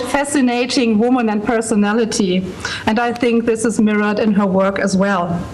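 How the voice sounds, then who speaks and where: A woman's voice: a little high, poor audio. A woman with a German accent giving a prepared presentation over a video call with background noise. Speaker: library staff member presenting a project. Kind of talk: prepared speech at a launch event.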